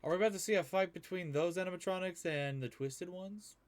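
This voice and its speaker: A man's voice: high-pitched, fairly quick. A man hosting a reaction video, commenting casually over the video's music.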